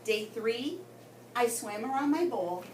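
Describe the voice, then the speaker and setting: A woman reading a story aloud to children in an expressive way, with dramatic pauses and emphasis. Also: a little high. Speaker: guest story reader for children. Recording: roomy, distant mic with background noise.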